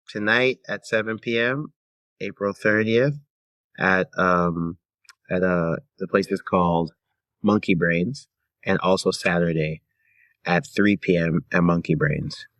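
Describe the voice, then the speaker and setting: A man's voice: deep voice, fairly even intonation, slow pace, long pauses, pushed and bright, no echo. A man from Toronto, talking as an interview guest in a conversational, reflective way and often checking in with the listener. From Toronto, Canada. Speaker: podcast guest, singer-songwriter.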